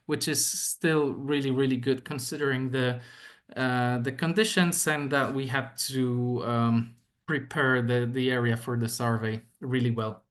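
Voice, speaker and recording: Slow, halting man with a Polish accent. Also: medium pitch, fairly even intonation. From Poland. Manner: hesitant, many fillers and repeated words. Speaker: archaeologist giving a seminar talk. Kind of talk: online academic lecture.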